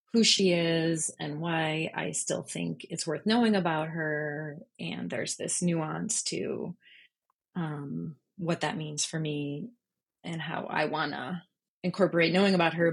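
A woman with a slightly husky voice, speaking thoughtfully and informally as an interview guest. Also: low voice. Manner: thoughtful, informal, explains step by step. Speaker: podcast guest, freelance journalist. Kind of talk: reflective interview conversation.

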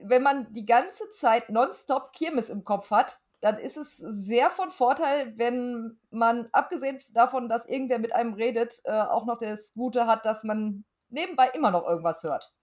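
A middle-aged woman with a fairly high voice. She chats casually as a podcast guest and speaks in a frank, emphatic way. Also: moderate pace, hardly any pauses, darkish tone. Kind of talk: casual chat.

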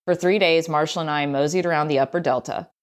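A woman's voice: low voice, fairly even intonation, fast. A woman with a Southern US accent reading a scripted travel essay as narration. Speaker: writer, tour guide and historian reading own essay.

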